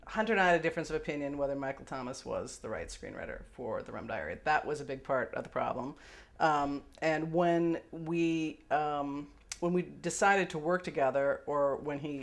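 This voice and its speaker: Woman, candid interview, hesitant phrasing, wry delivery, conversational. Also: low voice. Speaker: film production executive, interviewee.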